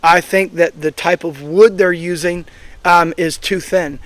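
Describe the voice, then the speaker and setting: A hoarse man in his thirties, host of an axe throwing channel, giving a rambling, opinionated review full of fillers. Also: sits high, fairly even intonation, measured pace, fairly bright tone, no echo. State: hoarse, recovering from being sick. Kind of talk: casual outdoor review vlog. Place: outdoors at a throwing range.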